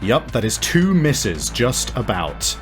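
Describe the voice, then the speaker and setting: A man running a tabletop game as game master, improvising narration and explaining deliberately with casual swearing. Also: medium pitch, sing-song. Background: faint music.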